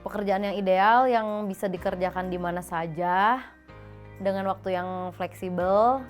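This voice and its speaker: Woman in her mid-twenties, playful interviewee, mid-pitched, faint music. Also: moderate pace. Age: 20s. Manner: playful, laughs easily. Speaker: interviewee, social media officer. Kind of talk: casual interview answers.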